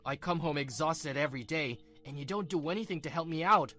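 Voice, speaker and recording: A man acting a domineering husband in a manga dub. His voice sits fairly high for a woman's range but low beside one, scornful and quick to blame. He sounds self-pitying and short-tempered, with music behind him. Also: pushed and bright. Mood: angry, blaming. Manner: scornful, blaming.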